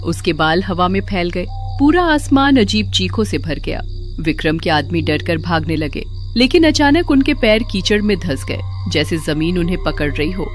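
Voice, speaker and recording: A woman's voice: on the low side, big pitch swings, normal speed, faint music behind. A woman giving a dramatic scripted narration of a horror story.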